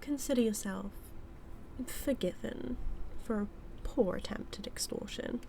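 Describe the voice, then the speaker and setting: Woman acting a slow, teasing British villainess. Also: mid-range voice, vocal fry, dark tone, slight background noise. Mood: teasing, amused. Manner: teasing, taunting, deliberate, drawn-out phrasing. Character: teasing, manipulative evil magician villainess, smugly menacing. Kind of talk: scripted villain monologue, audio roleplay.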